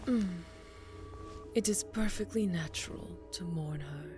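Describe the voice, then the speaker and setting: A woman playing a cruel, imperious vampire mistress in an ASMR fantasy roleplay, mock-tender and menacing, with breathy whispers. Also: on the low side, measured pace, music in the background, natural voice. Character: cruel, imperious vampire mistress gloating over her witch apprentice.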